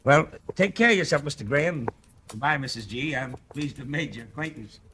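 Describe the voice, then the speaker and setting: An actor playing a brusque, slangy police detective in a 1940s radio drama, gruff and raspy. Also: male voice, mid-range voice, lively intonation, fairly quick, bright, forceful tone, slight background noise. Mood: baffled, blustering. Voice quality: gravelly, raspy.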